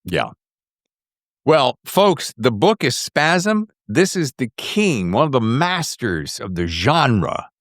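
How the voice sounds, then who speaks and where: A man's voice: medium pitch, big pitch swings, slow, no echo, clean studio sound. A man hosting a podcast in a casual, conversational interview style. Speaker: podcast host.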